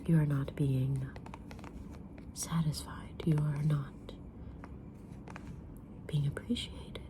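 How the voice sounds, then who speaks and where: A woman playing a kind, mysterious tarot-reading witch in a gentle, breathy whisper for an ASMR roleplay. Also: sits low, little pitch movement, background noise. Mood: gentle, counselling. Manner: gentle, deliberate, soft-spoken, drawn-out pauses.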